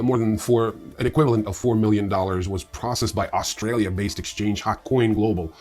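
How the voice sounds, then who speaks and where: A man presenting scripted news as host, with casual, sardonic asides in a wry manner. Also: on the low side. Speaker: news presenter of a crypto newsreel.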